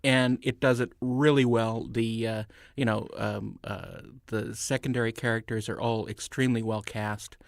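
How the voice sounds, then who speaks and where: Hesitant man, medium pitch. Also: fairly even intonation, normal speed, gravelly, hardly any pauses, no room sound, studio quality. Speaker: podcast panelist. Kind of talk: casual film chat.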